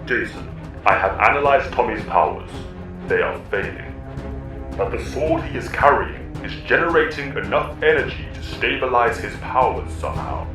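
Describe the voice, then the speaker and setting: A man playing an analytical mentor in an audio drama, giving measured instructions. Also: medium pitch, lively intonation, lightly acted. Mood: grave, urgent. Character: analytical male mentor advising a fighter from afar. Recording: distant, echoing voice over music.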